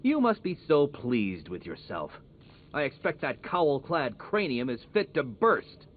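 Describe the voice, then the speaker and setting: Pompous, manic supervillain genius, thin audio. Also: male voice, medium pitch, wildly swooping pitch, a little slow, phone-quality sound, a little hiss or hum. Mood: bitter sneering. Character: arrogant, manic male supervillain genius, petulant and obsessive. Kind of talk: video game villain acting.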